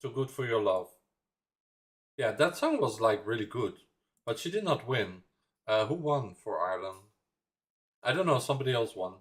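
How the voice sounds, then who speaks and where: A man's voice: mid-range voice, sing-song, moderate pace, pauses often. A young Dutch man from the Netherlands hosting a livestream, chatting casually, rambling and laughing as he reads viewer comments. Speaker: livestream reaction host.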